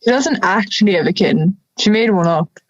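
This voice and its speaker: A woman's voice: mid-range voice, lively intonation, a little fast, lo-fi sound, natural voice. A young woman playing a dry, civil talking wolf in an audio drama, wry and easily offended.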